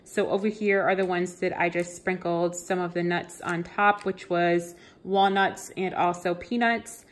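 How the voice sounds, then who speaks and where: A woman in her thirties talks easily and a little low to her viewers, walking through a home recipe in a friendly, chatty way. She sounds like she is filming herself on a phone held at arm's length in a home kitchen. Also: steady tone, a little slow, hardly any pauses. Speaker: lifestyle vlogger. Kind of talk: casual cooking and cleaning voice-over.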